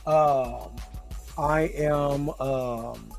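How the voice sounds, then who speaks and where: A man with a slightly hoarse voice hosting a livestream, talking casually in an informal, rambling, hesitant way. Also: mid-range voice, fairly even intonation, extremely slow, darkish tone, soft background music. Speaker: host of a livestream reaction show.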